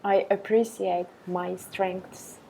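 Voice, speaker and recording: A woman leading a guided meditation with affirmations, speaking in a calm, gentle, measured way with long pauses. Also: mid-range voice, vocal fry, a little hiss or hum, poor audio.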